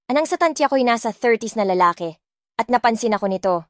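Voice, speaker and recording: A woman's voice: fairly high pitch, lively intonation, fast talker, no room sound, studio quality. A woman with a Filipino accent reading dramatic first-person scripted narration as an audiobook narrator. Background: soft music.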